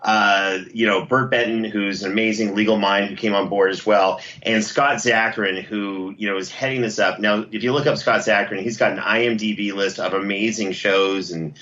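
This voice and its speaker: Bright, enthusiastic man on a slightly dull line. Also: fairly low pitch, fairly even intonation, normal speed, few pauses. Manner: enthusiastic, effusive. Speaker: interview guest, audio drama producer. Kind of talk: casual promotional interview talk.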